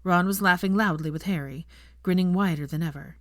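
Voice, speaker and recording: A woman narrates fiction from a script. Her voice sits a little low for a woman. The recording is dry, with hardly any room sound. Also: fairly quick, dry recording.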